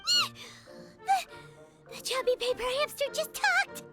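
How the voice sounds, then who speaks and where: Squeaky, very high childlike fairy companion. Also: female voice, huge pitch swings, takes it slowly, over loud music, heavily acted. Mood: startled, flustered. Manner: refers to self in third person, exclamatory. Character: small childlike female fairy companion, excitable and easily worried. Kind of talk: video game character dialogue.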